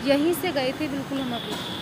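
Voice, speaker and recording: A woman's voice: high voice, moderate pace. A young woman chatting casually as she vlogs while travelling around the city, filmed on a handheld phone. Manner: chatty, narrating on the go. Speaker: vlogger. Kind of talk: casual vlog commentary. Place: outdoors on busy city streets and in an auto-rickshaw. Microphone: handheld phone camera. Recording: loud traffic noise outdoors. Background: traffic and street noise.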